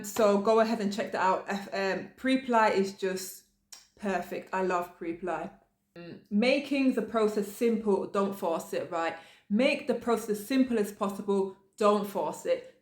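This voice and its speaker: A woman's voice: medium pitch, a little slow, roomy sound. A woman from the UK giving casual advice to camera in a chatty manner, with frequent fillers and restarts. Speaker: vlogger.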